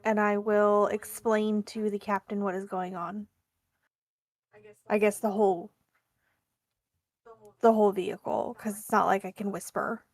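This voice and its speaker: A woman's voice: medium pitch, flat delivery, unhurried, pauses often, no echo. A woman chatting casually as a player in a tabletop roleplaying game.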